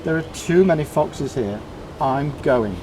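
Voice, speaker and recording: A man's voice: on the high side, animated, unhurried, background noise, voice pushed up. A man voicing an indignant, put-upon female pet cat, complaining emphatically in a storybook reading. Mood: fed up.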